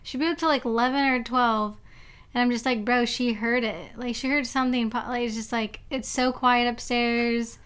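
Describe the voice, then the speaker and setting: Bright-voiced chatty young woman. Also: fairly high pitch, steady tone, moderate pace, smooth. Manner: chatty, loose, many fillers. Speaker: podcast co-host. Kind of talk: casual chat.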